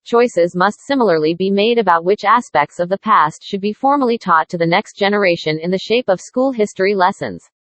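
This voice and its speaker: A woman's voice: mid-range voice, lively intonation, brisk, few pauses, a bit bright, no echo. A woman with a mid-range voice reads a scripted educational passage aloud. The sound is dry, with no room around it. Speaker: read-aloud model reader.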